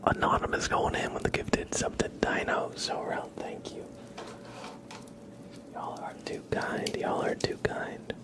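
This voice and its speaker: A man whispering gently as he hosts an ASMR live stream, chatting to viewers in a breathy voice and often repeating short phrases. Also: unhurried. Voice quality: breathy whisper with creaky edges.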